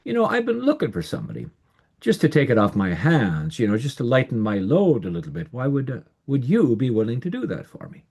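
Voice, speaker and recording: A storyteller voicing a kindly, generous old male peddler, coaxing and soft-spoken. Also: mid-range voice, huge pitch swings, fairly quick, gravelly, slightly rough, pitched above the performer's own voice, exaggerated voice.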